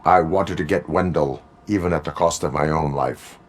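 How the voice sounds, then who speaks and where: An actor playing a stern, dutiful cyborg policeman in a video game, speaking in terse, flat, deliberate sentences. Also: extremely low pitch. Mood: stoic.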